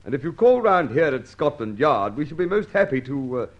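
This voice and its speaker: An actor playing a dryly courteous British police inspector, formal and deferential, in an old radio detective drama. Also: male voice, medium pitch, very animated, brisk, highs cut off. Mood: dry, probing.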